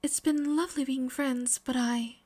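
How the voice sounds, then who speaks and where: A woman voicing a shy, proper teenage girl who speaks softly and haltingly, with some breathiness, in a fan fiction audio story. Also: high-pitched, fairly even intonation, voice pushed up. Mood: earnest, nervous. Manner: hesitant, soft, breathy, halting.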